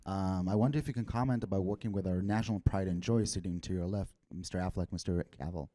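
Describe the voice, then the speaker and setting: A man's voice: low-pitched, fast, dark tone. A male journalist from Israel asking a polite question from the floor.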